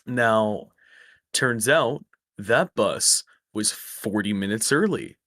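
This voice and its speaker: A man with a slightly raspy voice, rambling through a story in casual chat over a voice call. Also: lowish voice, expressive, slow, fairly bright tone, dry recording, clean studio sound. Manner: rambling, self-correcting storyteller. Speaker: stream guest. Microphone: close microphone.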